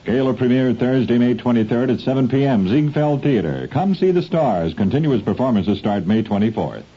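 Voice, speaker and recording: Very mellow, husky male promo announcer. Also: medium pitch, very animated, normal speed. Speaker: event announcer. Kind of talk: hurried promo tag. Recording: dull old radio broadcast.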